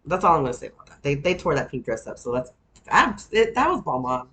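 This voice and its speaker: A woman's voice: low voice, very animated, quick pace, a bit bright. A woman in her thirties from Texas with a Texan twang, host of a show, chatting casually on her own in a rambling, self-deprecating way with frequent laughs. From Texas, USA. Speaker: podcast host recapping a reality show. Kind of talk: casual solo chat.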